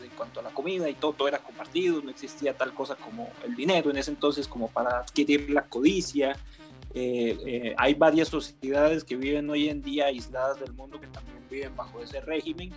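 A Costa Rican man with a Costa Rican accent, talking in a casual chat, hesitant and full of "eh" fillers and restarts. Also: on the high side. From Costa Rica.

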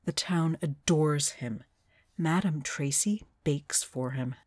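A woman playing a stern, unimpressed witch in an audio drama, speaking in a firm, dark tone. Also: low voice, takes it slowly. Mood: vehement. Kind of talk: acted fiction podcast dialogue.

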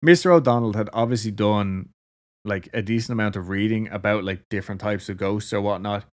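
A man's voice: fairly low pitch, fairly quick, a little mellow. A man with an Irish accent casually telling a story to a co-host, laughing often and swearing freely. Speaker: podcast co-host.

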